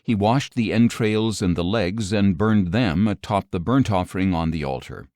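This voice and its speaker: A man with a fairly low voice narrates scripture in a scripted audiobook style. His reading is measured and solemn. The sound is close and dry, with very little room around the voice. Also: darkish tone, no room sound.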